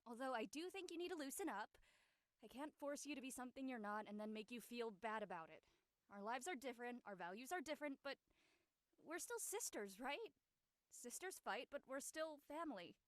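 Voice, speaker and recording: Carefree teen girl acted by a woman, high voice, super animated, playful needling sister. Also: moderate pace, no echo. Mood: earnest. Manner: playful, provocative, expressive.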